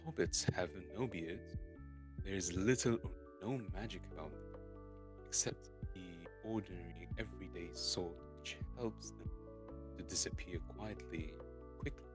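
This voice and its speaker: A man reading a storybook aloud in a halting way, stumbling and repeating words as he goes. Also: deep voice, poor audio. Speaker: streamer reading a novel aloud. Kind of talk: dramatic storybook reading, unrehearsed. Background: soft lofi guitar music.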